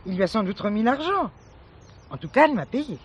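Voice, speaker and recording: A woman's voice: on the high side, sing-song, brisk, slightly rough. A woman playing a businesslike boarding-house landlady in a 1970s radio drama, deferential and slightly defensive.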